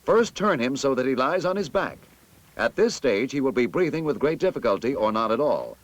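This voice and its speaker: An American man reads scripted narration for a vintage army training film in an authoritative, instructive manner with clear enunciation. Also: medium pitch, big pitch swings, brisk.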